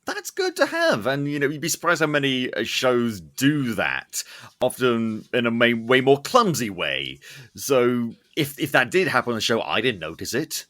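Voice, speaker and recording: A man with a bright, forward voice chats as a podcast host. His pitch swings widely as he riffs, swears casually and plays up mock outrage for comic effect. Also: sing-song, normal speed. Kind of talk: casual chat, interviewing guests.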